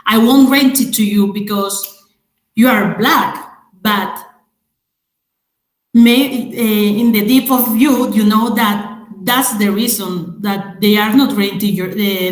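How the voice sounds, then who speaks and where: A woman with a Madrid Spanish accent, speaking in a webinar and pausing often with fillers. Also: fairly high pitch, roomy sound, low-quality recording. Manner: slow, hesitant, many fillers. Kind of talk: webinar talk in English.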